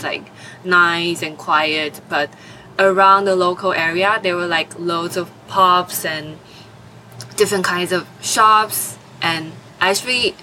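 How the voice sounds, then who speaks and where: A woman's voice: fairly low pitch, bright, forceful tone, a little hiss or hum, rough recording. A woman in her twenties from Taiwan, answering interview questions hesitantly with many fillers. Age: 20s. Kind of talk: oral history interview.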